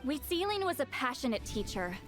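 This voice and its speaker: Young-sounding proud shaman, sharp-tongued, high lively voice, haughty, anime game, faint music. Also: a little fast. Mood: thoughtful. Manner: haughty, teasing.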